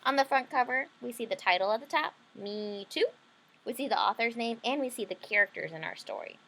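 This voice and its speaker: A woman's voice: fairly high pitch, expressive, fairly quick, gravelly, fairly bright tone. A woman leading an interactive read-aloud lesson for children, explaining simply and often checking in with questions. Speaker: teacher reading a picture book to children.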